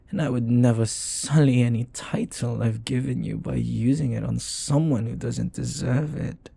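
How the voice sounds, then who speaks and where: Man playing a slow, gravelly, possessive demon lover. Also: mid-range voice, dark tone, no room sound, exaggerated voice. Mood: devoted, pleased. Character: jealous, flirty, devoted male demon bound to a sorcerer. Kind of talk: intimate audio roleplay addressed to the listener.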